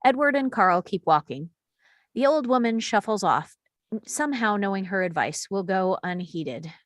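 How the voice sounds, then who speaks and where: Female narrator for screenplay stage directions, mid-range pitch, scripted read, dry recording. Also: lively intonation, moderate pace, no echo.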